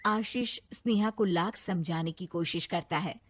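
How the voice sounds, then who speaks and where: A woman narrating a cartoon story in an expressive, scripted storytelling manner. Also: on the low side, measured pace, soft background music.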